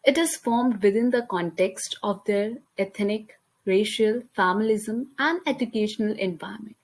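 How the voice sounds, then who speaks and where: A woman's voice: medium pitch, moderate pace. A young woman from India with an Indian accent, a native Hindi speaker, reading a class presentation over slides with careful pacing and small slips. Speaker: student presenter. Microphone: computer mic, voice-over on slides.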